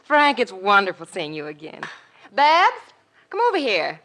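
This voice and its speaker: Lively, vivacious sporting woman. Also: medium pitch, moderate pace. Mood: delighted. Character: vivacious, sociable woman champion golfer. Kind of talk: 1940s radio drama acting. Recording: vintage radio recording.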